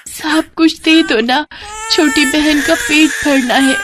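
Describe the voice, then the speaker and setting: A woman's voice: on the low side, background noise. Emotive woman narrating a cartoon story.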